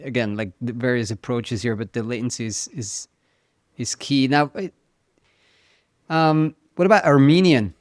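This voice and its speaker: Smooth-voiced man with a German accent. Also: medium pitch, moderate pace, long pauses, no echo, studio quality. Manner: questions worded and rephrased on the fly. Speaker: podcast host. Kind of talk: interview questions.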